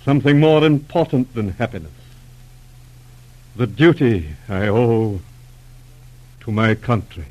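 Proud, troubled male lord: low-pitched, widely swinging delivery, grave and halting, vintage radio melodrama. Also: expressive, darkish tone, dull audio. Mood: anguished, resolute. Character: proud, anguished lord torn between wife and country. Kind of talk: 1940s radio drama acting.

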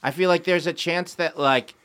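A man's voice: a little high, normal speed, smooth. A man from Michigan, a podcast guest, telling stories in casual comedic chat and laughing often. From Michigan, USA. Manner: animated storyteller, laughs often.

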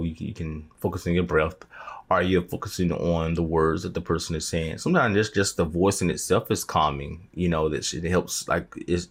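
A man hosting an interview who asks long, halting questions full of ums and restarts. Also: sits low. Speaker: interview host. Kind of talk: casual interview.

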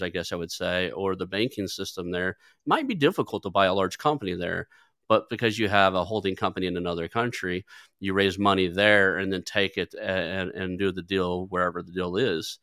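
A man's voice: sits low, pushed and bright, smooth, dry recording, clean studio sound. A man hosting a podcast, talking in a loose conversational way with frequent restarts. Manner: conversational, stumbles and restarts, uses fillers like "like". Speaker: podcast host. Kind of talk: podcast interview.

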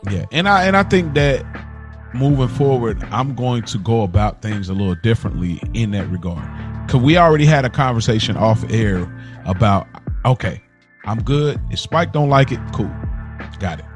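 A man co-hosting a casual podcast, explaining himself in an earnest, measured way. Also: mid-range voice, animated. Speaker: podcast co-host. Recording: voice over music bed. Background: music.

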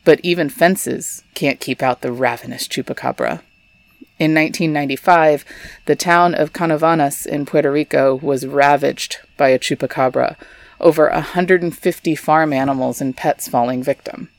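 A woman's voice: sits low, moderate pace, vocal fry. A young adult woman from Texas, hosting a scripted storytelling podcast with chatty, wry asides. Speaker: podcast host.

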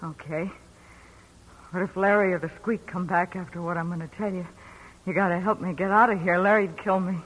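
A woman's voice: lowish voice, steady tone, a little fast, natural voice, low-quality recording. An actress playing a bitter, jealous gangster's ex-girlfriend in a 1940s radio drama, brassy and emotional.

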